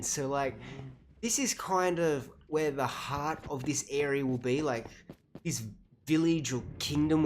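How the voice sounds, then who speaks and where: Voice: medium pitch, a little hiss or hum. An Australian streamer in their late twenties chatting casually through a livestream, rambling and self-deprecating with easy laughs. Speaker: game streamer. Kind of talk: casual livestream commentary while playing.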